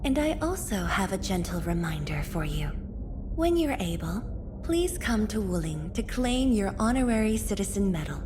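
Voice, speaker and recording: An actress voicing a gentle, courteous scholarly woman official in a video game, speaking in a formal, polite, reflective way. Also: medium pitch, sing-song, normal speed, noisy background, natural voice. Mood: modest, kind. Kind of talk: scripted video game character voice lines.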